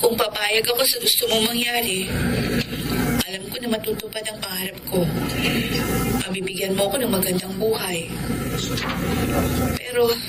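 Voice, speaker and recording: An actress playing a sincere young woman torn in love, speaking earnestly and gently in a Tagalog radio drama. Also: mid-range voice, lightly acted. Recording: loud background ambience noise.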